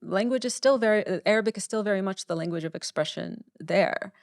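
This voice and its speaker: Smooth-voiced woman writer, close and dry. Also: mid-range voice, fairly quick, no echo. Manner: thoughtful, repeats words while forming ideas. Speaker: writer, podcast guest. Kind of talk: candid conversational interview.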